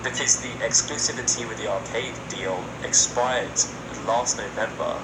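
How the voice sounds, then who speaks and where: Man, medium pitch, casual podcast talk, very loud background noise. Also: moderate pace. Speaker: podcast host. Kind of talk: casual podcast discussion of games.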